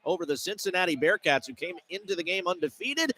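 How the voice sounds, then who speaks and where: Bright, high-pitched male sportscaster. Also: animated, quick pace, vocal fry. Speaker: sports play-by-play broadcaster. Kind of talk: live basketball halftime commentary and off-air chat.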